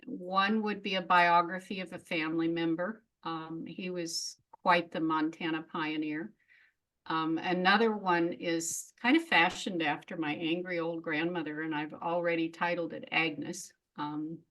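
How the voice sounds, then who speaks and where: Low-voiced woman, frank and reflective. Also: steady tone, a little slow, fairly bright tone, rough recording. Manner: frank, reflective, laughs at herself. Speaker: memoirist guest. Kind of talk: casual conversational interview.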